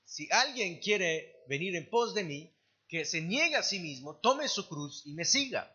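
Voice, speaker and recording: A man's voice: high-pitched, very animated, normal speed, bright, forceful tone, husky, highs cut off. A man interpreting a sermon live, phrase by phrase, with occasional restarts. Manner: translating phrase by phrase, with some restarts. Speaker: church interpreter. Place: church service.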